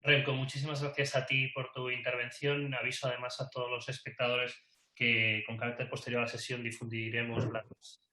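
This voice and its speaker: A man moderating a webinar, thanking a speaker and introducing the next in a formal, smooth manner. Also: mid-range voice, bright, forceful tone. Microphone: video call. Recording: echoey room over a call.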